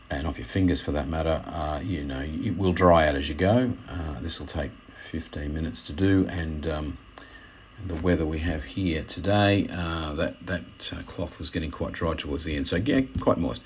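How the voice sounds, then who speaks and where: A man giving an unscripted how-to commentary as an instructor, speaking in a halting way and often correcting himself. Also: sits low, breathy edge. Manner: hesitant, halting, self-correcting.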